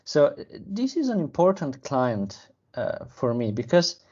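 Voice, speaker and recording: A man, a sales manager explaining a client case in a measured, careful way with pauses to find words. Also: fairly high pitch, big pitch swings, darkish tone, dull audio. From Bulgaria. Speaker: sales and business development manager. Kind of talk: business interview.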